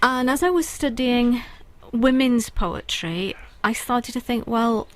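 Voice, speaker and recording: A woman poet speaking as a radio guest, earnest and reflective. Her voice is fairly high and she talks slowly, pausing with ums as she gathers her thoughts. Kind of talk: radio interview.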